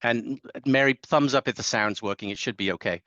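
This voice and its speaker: A man's voice: mid-range voice, fairly quick, fairly bright tone, no echo. A man presenting a webinar, introducing a video in a hesitant way and restarting his sentences. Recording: dull, dry webinar audio.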